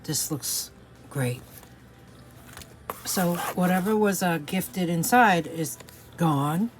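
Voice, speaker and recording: A woman's voice: on the low side, darkish tone, a little hiss or hum. A woman from New York City with a New York accent, chatting casually through a resale video with lots of fillers. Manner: chatty, hesitant, many fillers. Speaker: resale seller. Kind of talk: casual product showing vlog.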